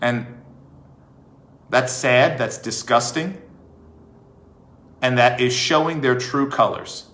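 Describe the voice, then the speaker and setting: A man giving an emphatic opinion monologue as a vlog host, with rhetorical questions and deliberate pauses. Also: medium pitch, a bit bright. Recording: echoey room.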